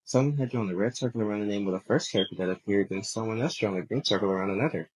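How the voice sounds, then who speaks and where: A man plays a clever, curious young anime game character. He talks quickly in a slightly low, husky voice, with a matter-of-fact manner. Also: darkish tone, low-quality recording. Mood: brisk, knowing. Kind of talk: anime game fan voice-over.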